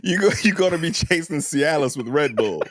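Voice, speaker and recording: A man's voice: a little high, expressive, brisk, no echo. An American man co-hosting a podcast, trading casual banter and mock-dramatic reading. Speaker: podcast co-host.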